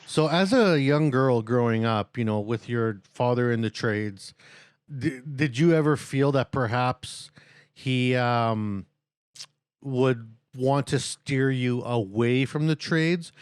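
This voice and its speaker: Smooth-voiced man hosting, rambling. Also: medium pitch, slow pace, no echo, studio quality. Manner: rambling, lots of fillers and chuckles. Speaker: podcast host. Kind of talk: casual interview hosting.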